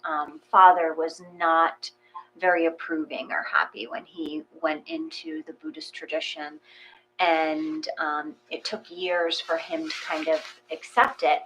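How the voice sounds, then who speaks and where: A woman hosting a meditation gathering, speaking in a reflective, halting way as she guides and chats. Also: low-pitched, a bit bright, a little hiss or hum, rough recording. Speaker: host and reader of guided meditation.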